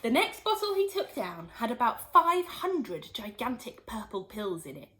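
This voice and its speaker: Expressive teacher reading to kids; a woman with a bright, bouncy, fairly high delivery. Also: measured pace. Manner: expressive, animated read-aloud. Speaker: storyteller teacher. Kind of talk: children's story reading.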